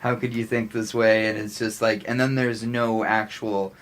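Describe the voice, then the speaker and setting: American guy on a podcast, lowish voice, kinda stumbles around for words, casual. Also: little pitch movement. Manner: halting, searching for words. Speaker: podcast co-host.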